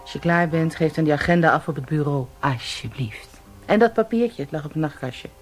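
An actress in a Dutch radio drama playing a brisk, dry-witted female police inspector with a bossy, teasing manner. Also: low-pitched, soft background music, lightly acted. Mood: curt. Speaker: actor in a radio play.

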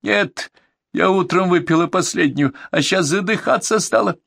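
A woman's voice: on the low side, moderate pace, gravelly, no room sound, studio quality, voice pushed up. A man voicing a furious, abusive woman caller with asthma who screams down the phone at an ambulance dispatcher. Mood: grudging.

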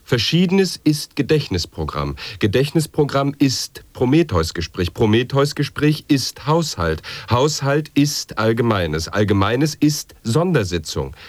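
A man's voice: medium pitch, sing-song, unhurried, no echo. An actor playing a theatrical man intoning absurd, mock-learned verse in an experimental radio drama. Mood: chanting.

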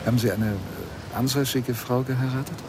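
A man's voice: medium pitch, normal speed, background noise, close to an everyday voice. An actor playing a probing, insistent male lawyer who tells his own story in a German radio play, with a dry, pressing manner. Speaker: radio drama actor, narrator.

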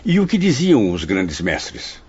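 Low-voiced, earnest spirit student. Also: male voice, huge pitch swings, takes it slowly, darkish tone, slightly rough, heavily acted. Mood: respectful curiosity. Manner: formal, deferential, literary. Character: respectful male spirit student, earnest, curious. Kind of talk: radio drama acting and narration. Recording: dull recording over music.

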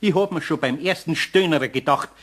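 An actor playing an older, grumbling but good-natured Bavarian craftsman in a German radio play, with a husky voice. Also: a little high, fairly quick, fairly bright tone, close to an everyday voice. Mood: scolding.

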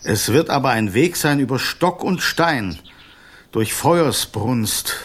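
An actor playing a loyal golden snake who guards a princess in a radio fairy tale, speaking in an earnest, urging way. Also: male voice, medium pitch, lively intonation, slow pace, fairly bright tone, breathy edge, heavily acted.

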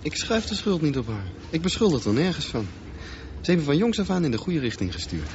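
A muffled radio drama recording with faint noise carries a teenage boy swimmer. His voice swings expressively at a middle pitch, intense, bitter and defiant, with a dreamer's streak. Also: big pitch swings, a little fast, darkish tone. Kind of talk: radio drama acting and narration.

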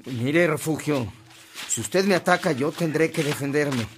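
A man's voice: a little high, a little slow, noisy background, close to an everyday voice. An actor playing an earnest, conscientious young man on a family farm in a radio drama, polite, restrained and firm. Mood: warning.

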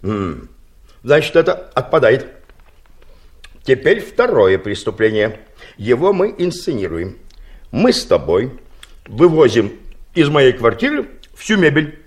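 A man's voice: medium pitch, big pitch swings, a little slow, long pauses. An actor playing a scheming, eccentric older man in an audio play, laying out his plans in an insistent, matter-of-fact way. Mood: brisk plotting. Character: scheming, eccentric older male friend hatching crime plans.

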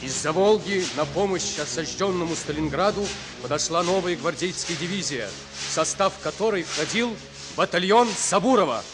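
An actor narrating a Soviet wartime radio play in a grave, deliberate, declamatory manner. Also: male voice, fairly high pitch, expressive, close to an everyday voice. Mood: solemn. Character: solemn narrator of a wartime epic. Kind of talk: solemn dramatic scripted narration of a Soviet war radio play. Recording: old radio play, loud music behind. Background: music.

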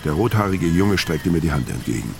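Brooding killer narrator, very deep voice. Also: male voice, animated, fairly quick, creaky, background noise. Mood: brooding, matter-of-fact. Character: brooding hard-drinking male fugitive killer narrating his story. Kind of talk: scripted first-person crime radio play narration.

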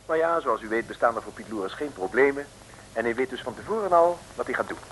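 A male narrator tells a radio serial with wry, chatty asides. His voice is bright and forceful at a middling pitch. The vintage recording carries some faint noise. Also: lively intonation, fast, poor audio.

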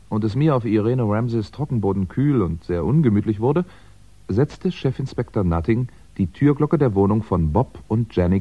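Lowish male storyteller, composed and dry, close studio sound on dull vintage radio. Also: dry recording. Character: composed, dry first-person narrator of a crime story. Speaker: narrator. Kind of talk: scripted narration in 1960s radio drama.

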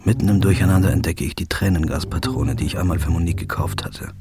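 An actor playing a weary man who narrates his own search for his girlfriend's killer in a radio play, speaking in a low, subdued murmur. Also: quick pace, natural voice. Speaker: first-person narrator and protagonist. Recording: music underneath. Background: loud music.

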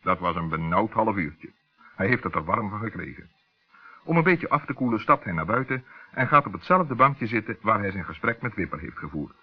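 A man with a husky voice narrating a scripted children's radio story. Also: fairly low pitch, sing-song, brisk. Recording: dull old radio recording.

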